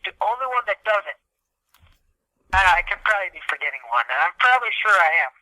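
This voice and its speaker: A man's voice: high voice, expressive, fast talker, bright, forceful tone, dry recording, low-quality recording. A man co-hosting a casual gaming podcast, ranting in exasperated mock-seriousness and swearing freely. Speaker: podcast co-host.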